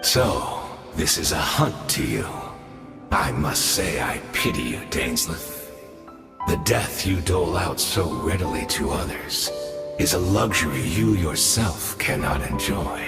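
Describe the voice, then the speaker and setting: Menacing abyss herald for a fantasy game: low, slow, pompous and condescending, drenched in echo. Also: male voice, animated, exaggerated voice. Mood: menacing, mock pity. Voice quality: deep, gravelly. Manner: theatrical, pompous, condescending, drawn-out. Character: grandiose, menacing male Abyss herald villain. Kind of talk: fantasy video game voice acting. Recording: echoey, long reverb, music behind.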